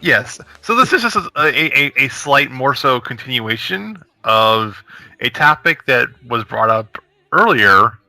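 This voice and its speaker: A man in his thirties on a gaming podcast panel, talking fast and bluntly, swearing casually as he gives his opinions. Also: medium pitch, sing-song, vocal fry, bright, forceful tone, no echo, low-quality recording. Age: 30s.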